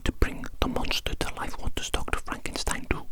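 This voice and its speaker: An ASMR performer playing a chaotic evil doctor in a breathy whisper, fussing dotingly and repeating words and phrases. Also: brisk.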